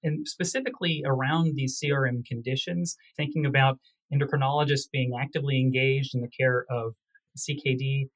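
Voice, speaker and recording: Guy doctor being interviewed about research, middle pitched voice, formal and technical, measured wording. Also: steady tone, low-quality recording. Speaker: physician researcher, interview guest. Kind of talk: medical interview discussion.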